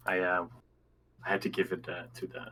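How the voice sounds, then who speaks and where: A man's voice: deep voice, completely flat delivery, brisk, a crowd in the background, poor audio. A man taking part as a player in a tabletop roleplaying game, chatting casually.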